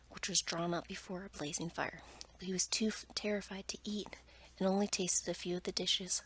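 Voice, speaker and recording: A woman reading a bedtime story aloud in ASMR style, in a soft, breathy whisper with careful, hushed delivery. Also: lowish voice, slight background noise, rough recording.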